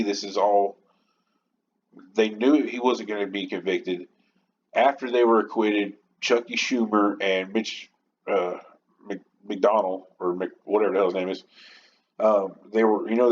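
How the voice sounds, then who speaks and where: Male podcast host, on the low side, casual and opinionated, loosely rambling; muffled home recording with slight noise.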